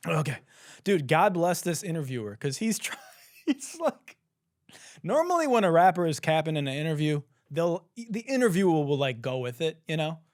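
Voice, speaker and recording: Young male podcast host, incredulous comic ranter, slightly hoarse, very expressive, dead-dry sound. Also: a little high, dry recording. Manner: profane, laughing, incredulous ranting. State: hoarse, says he has lost his voice. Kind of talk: casual comedic commentary.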